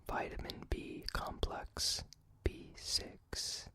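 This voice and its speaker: A person reading facts in an unvoiced ASMR whisper, carefully and in short phrases with pauses between them. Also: bright, forceful tone. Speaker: reader.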